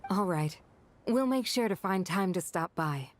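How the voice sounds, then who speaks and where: A woman voicing a steady, earnest desert dweller and loyal friend in a video game, composed in manner. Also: fairly low pitch, a little slow, slight background noise.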